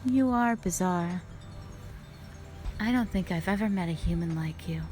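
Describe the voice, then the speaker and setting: Woman voicing a low, slow, stern dragon warrior. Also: darkish tone, soft background music, natural voice. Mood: intrigued, softened. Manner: measured, solemn, formal phrasing. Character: stern, calm, duty-bound female dragon warrior guardian, centuries old. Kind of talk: scripted roleplay audio drama monologue.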